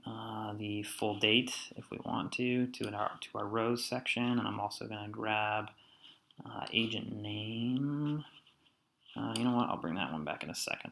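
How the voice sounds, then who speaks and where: A man narrates a screen-share tutorial in a casual, step-by-step manner. His voice sits on the low side and has a strong gravelly fry. The tone is bright and crisp-edged as he instructs the viewer informally. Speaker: software tutorial presenter. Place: voice-over for a screen recording.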